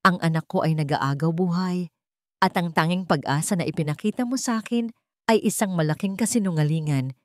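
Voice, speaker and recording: A woman's voice: on the low side, fairly quick, no room sound, studio quality, natural voice. A storyteller voicing an icy, accusing rich mother. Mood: bitter, furious. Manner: accusing, cutting, commanding. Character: cold, wounded, imperious billionaire mother confronting a deceiver. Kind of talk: dramatic storybook dialogue.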